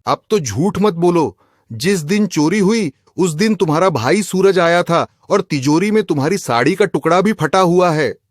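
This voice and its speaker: A man's voice: high voice, animated, moderate pace, dry recording, studio quality. A man voicing an accusing, suspicious husband in a cartoon, speaking with emphasis. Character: suspicious, aggrieved husband robbed of his chest. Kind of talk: Hindi cartoon voice acting.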